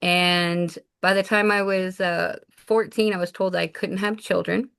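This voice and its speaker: A woman's voice: lowish voice, fairly even intonation, measured pace, gravelly, a bit bright, clean tone. A woman raised in Japan, a shaman guest in an interview, telling her life story in an earnest, reflective way. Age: adult mother of four. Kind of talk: casual interview talk.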